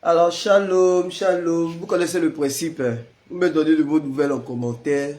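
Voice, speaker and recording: A man's voice: a little high, fairly even intonation, normal speed, a little mellow. A man with the flu, a prayer leader chatting casually with live-stream viewers and greeting them by name. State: has the flu.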